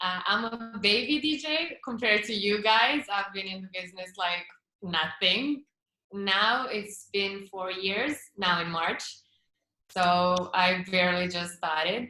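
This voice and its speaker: A woman's voice: mid-range voice, normal speed, bright, forceful tone. A woman DJ introducing herself modestly on a video call panel. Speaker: DJ, panel guest. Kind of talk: casual video call chat. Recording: echoey room.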